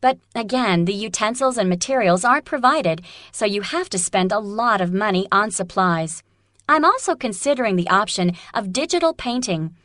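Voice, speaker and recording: Actress as sensible young student: mid-range voice, measured careful diction, echo-free sound. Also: female voice, medium pitch, lively intonation, fairly bright tone, clean studio sound, lightly acted. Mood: matter-of-fact. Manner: measured, clearly enunciated. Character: practical female student weighing art courses. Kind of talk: scripted dialogue for an English listening test.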